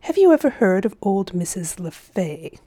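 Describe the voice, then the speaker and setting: A woman plays an old gentleman from a children's storybook. He is sly and self-satisfied, wheedling in an old-fashioned genteel way. His sweetness is false and talks down to the young. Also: mid-range voice, very animated, normal speed. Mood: evasive. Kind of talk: storybook character voice.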